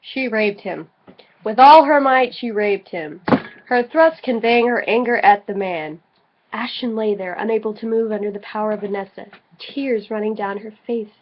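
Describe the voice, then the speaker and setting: A woman's voice: mid-range voice, normal speed. A drunk woman reading a story aloud mock-dramatically as an amateur, breaking off for muttered critical asides, on a lo-fi home recording. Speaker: amateur co-reader of a fan story.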